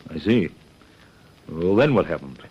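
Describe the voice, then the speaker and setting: An actor playing a stern, wary Australian police constable who questions deliberately in a radio detective drama. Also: male voice, sits low, lively intonation, darkish tone, slightly rough, dull audio, full character voice. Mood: stern, probing.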